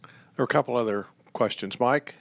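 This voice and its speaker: A male host moderating audience questions with brisk, dry humor. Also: mid-range voice, gravelly. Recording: audience noise around.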